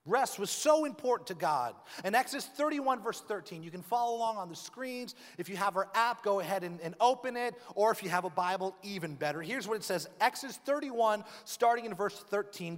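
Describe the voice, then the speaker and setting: A man delivers a sermon from the pulpit in a high, animated voice. He tells stories with humor and switches voices for the people he quotes. His voice rings with the long reverb of a church hall. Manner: lively, joking storyteller, acts out quoted voices. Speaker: pastor. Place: church sanctuary.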